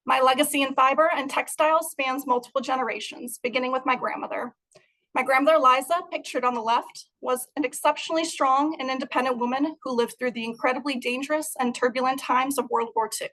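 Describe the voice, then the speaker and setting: A woman's voice: high-pitched, fairly even intonation, brisk, fairly bright tone, poor audio. A young adult woman from Moldova, giving an earnest, prepared talk about her art over a video call. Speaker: guest artist.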